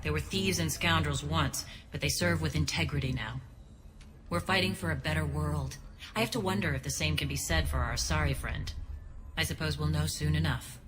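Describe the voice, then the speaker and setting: An actress playing a principled, wary alien ex-soldier who leads a resistance in a video game, earnest and measured. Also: female voice, low-pitched, lightly acted. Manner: earnest, measured, dryly pointed. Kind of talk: dramatic video game acting. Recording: long reverb, noisy background.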